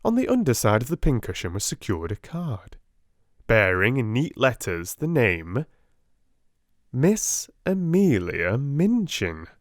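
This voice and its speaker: Slow, expressive British male narrator. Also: medium pitch, no echo, clean studio sound. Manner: gentle, expressive. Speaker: audiobook narrator. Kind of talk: soft-spoken storybook narration.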